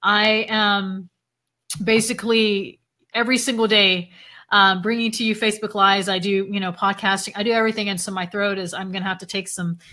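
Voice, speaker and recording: A woman's voice: medium pitch, fairly even intonation, a little fast, sharp tone. A woman host on a live video chat, chatty and full of fillers, who is losing her voice. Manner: chatty, laughs often, many fillers. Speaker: event host interviewing a speaker.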